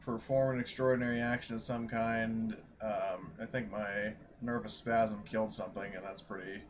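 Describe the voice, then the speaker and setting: A man chatting casually as a tabletop game master, speaking haltingly as he thinks aloud. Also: lowish voice, fairly even intonation, slight background noise. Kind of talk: casual post-game chat.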